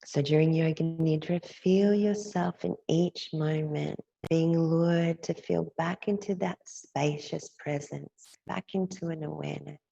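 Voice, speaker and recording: A woman with a low, gravelly voice leads a guided meditation. She speaks softly and soothingly as a teacher, lingering between phrases. The recording is close and dry, though slightly dull. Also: fairly even intonation, no echo. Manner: gentle, unhurried, with long pauses between phrases. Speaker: yoga nidra meditation teacher.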